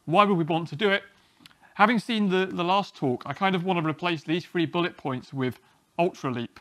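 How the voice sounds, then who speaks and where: A man, giving a relaxed, self-deprecating technical talk at a small meetup, often restarting his sentences. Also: high voice, normal speed. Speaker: conference speaker. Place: small meeting room, indoors.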